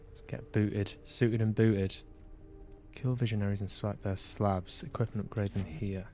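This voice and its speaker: A man's voice: on the low side, fairly even intonation, unhurried, a little mellow, soft background music. A man hosting a live game stream, reading on-screen text aloud with casual asides. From England. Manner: casual asides between read-out passages. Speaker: game streamer and podcast host.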